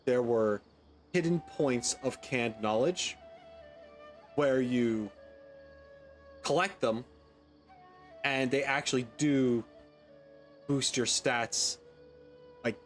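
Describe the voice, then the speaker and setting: Rambling male gamer, medium pitch. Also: slow pace, pauses often, soft background music. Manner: rambling, tentative, thinking aloud. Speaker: gaming content creator. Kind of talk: casual gameplay commentary.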